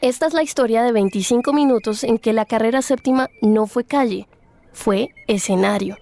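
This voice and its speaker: A woman's voice: a little high, normal speed, gravelly, darkish tone. A woman reading scripted, literary podcast narration.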